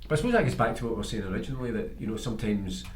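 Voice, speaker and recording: A man's voice: lowish voice, lively intonation, racing, reverberant, slight background noise. A man hosting a podcast, chatting casually in an interview. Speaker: podcast host.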